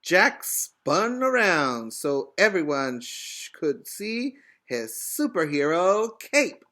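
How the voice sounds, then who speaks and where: A man teaching preschool, reading a storybook aloud expressively and emphatically, pausing to put questions to young children. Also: on the high side, very animated, bright, forceful tone.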